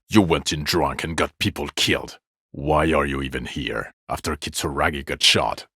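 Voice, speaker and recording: An actor playing a bitter, exasperated police detective in a video game, sarcastic, scornful and quick to swear at his drunk partner. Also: very deep voice, pushed and bright, breathy edge, no echo, studio quality, heavily acted. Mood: angry, accusing.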